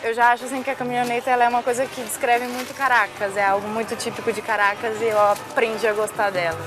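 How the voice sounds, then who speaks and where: A woman's voice: fairly high pitch, little pitch movement, fast. A Brazilian woman with a Brazilian Portuguese accent, hosting a travel vlog in a chatty, enthusiastic way. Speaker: travel show host. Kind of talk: casual travel vlog narration. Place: lively night venue with live band. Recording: loud music and crowd noise. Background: loud music and crowd.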